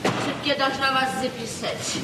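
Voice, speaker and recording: An actress playing a modest, middle-aged provincial librarian, plain-spoken and frank, in a 1970s Soviet radio drama. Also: female voice, high-pitched, steady tone, normal speed, natural voice. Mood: brisk, official. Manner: plain-spoken, apologetic, talkative. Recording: noisy old radio recording, echoey, distant.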